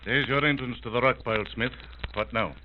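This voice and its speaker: An actor playing a dry, matter-of-fact police chief giving terse, businesslike reports in a 1930s radio drama. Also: male voice, mid-range voice, steady tone, a little fast, natural voice. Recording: noisy, clicking, dull 1930s radio recording.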